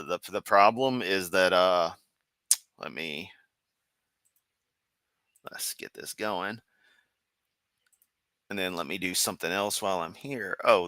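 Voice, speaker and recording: A rambling, sarcastic man hosts a live stream, talking half to himself as he clicks around. His voice sits fairly low but has a bright, sharp edge, and his throat is getting sore. The sound is dry and close, with no room around it. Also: clean tone, no room sound. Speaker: livestream host. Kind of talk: casual live-stream commentary.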